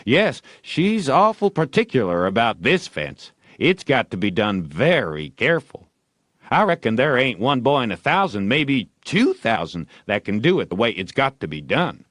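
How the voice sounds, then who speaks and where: A man's voice: mid-range voice, very animated, a bit bright, no room sound, studio quality. A man voicing a sly young boy who feigns carelessness and reluctance in folksy dialect, for an audiobook. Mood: coy reluctance. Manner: folksy drawn-out boyish dialect, coy. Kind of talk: audiobook character voice.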